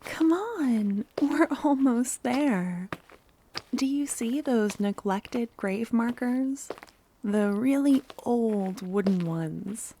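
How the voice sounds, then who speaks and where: A woman playing a loving, obsessive cultist kidnapper in a soft-spoken ASMR roleplay, sweetly menacing, breathy and often whispering. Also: fairly high pitch, animated, slight background noise, full character voice. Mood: gentle, eager. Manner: slow, tender, intimate coaxing. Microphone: close ASMR microphone.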